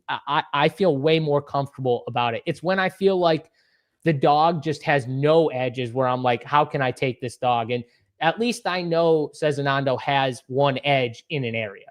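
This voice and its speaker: A man with a Worcester, Massachusetts accent talks through fight picks in an easygoing way, agreeing readily and poking fun at himself. His voice sits a bit higher than most men's. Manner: agreeable, quick to chime in, self-deprecating. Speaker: MMA betting analyst. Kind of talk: casual betting chat.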